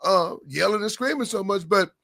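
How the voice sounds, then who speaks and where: A man co-hosting a casual high school basketball podcast, a little hoarse and rambling, repeating words as he goes. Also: normal speed, pushed and bright. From Oklahoma. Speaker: podcast co-host. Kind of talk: casual sports chat.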